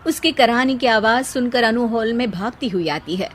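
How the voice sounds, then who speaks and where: A woman's voice: a little high, normal speed, slight background noise. A woman narrating a scripted moral story as a storyteller.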